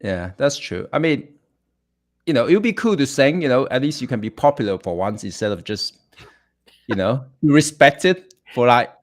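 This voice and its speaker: A man's voice: mid-range voice, very animated, normal speed. A man from England chatting casually as a guest musician, telling stories in a self-deprecating way. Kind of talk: casual chat.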